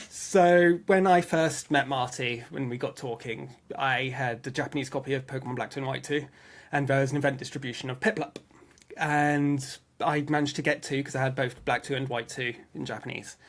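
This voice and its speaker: A man chatting casually as an interview guest, explaining things informally and often peppering his sentences with "like". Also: on the high side.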